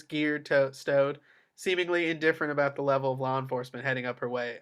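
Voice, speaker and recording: A man's voice: medium pitch, sharp tone, clean tone. A man reading screenplay action aloud as a narrator, with theatrical, emphatic delivery. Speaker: table read narrator.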